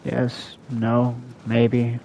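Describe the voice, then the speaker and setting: Man, casual game streamer, soft dark tone, self-directed muttering, faint noise, lo-fi mic. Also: medium pitch, monotone, very slow, creaky. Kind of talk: casual live game commentary.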